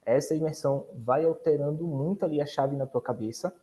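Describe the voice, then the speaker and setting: A man's voice: a little high, a little fast, warm tone. A man, an exchange program advisor explaining in casual chat and addressing viewers directly.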